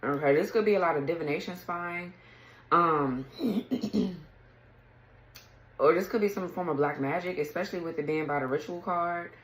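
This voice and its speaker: A woman with a low, raspy morning voice talks casually through a tarot spread and often clears her throat. Her voice sounds echoey, as if she is in a bare room. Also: normal speed, pauses often. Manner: chatty, clears throat often, reads card texts aloud. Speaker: tarot reader. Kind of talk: casual tarot reading to camera.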